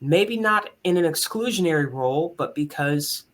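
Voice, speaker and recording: A man with a higher tenor voice, speaking as a musician and conductor in an earnest, candid, reflective way in a conversational interview. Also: lively intonation, moderate pace, fairly bright tone.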